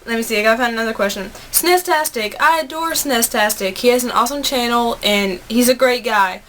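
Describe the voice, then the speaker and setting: A woman's voice: fairly high pitch, brisk, fairly bright tone. An American woman in her twenties chatting casually and earnestly to viewers as a video blogger, answering questions in an informal, rambling way on a noisy home recording. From United States. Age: 20s. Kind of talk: casual chat to camera.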